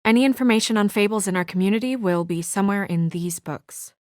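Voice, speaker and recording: An actress playing a brisk, guarded young female office assistant in a noir video game drama, speaking in a crisp, businesslike, dry manner. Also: mid-range voice, a little mellow, no room sound, lightly acted. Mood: professional, polite.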